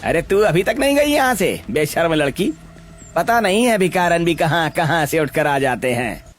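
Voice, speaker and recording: A man's voice: fairly high pitch, expressive, normal speed, a little hiss or hum, lightly acted. Harsh, mocking temple-goer voiced by a man. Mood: contemptuous. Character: rude, bullying temple-goer driving beggars away. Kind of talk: Hindi cartoon story acting.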